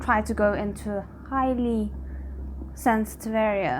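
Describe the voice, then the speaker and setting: A woman's voice: fairly high pitch, normal speed. A woman hosting a reaction video, talking casually and expressively, with hesitant phrasing that trails into asides. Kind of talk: casual reaction commentary to camera.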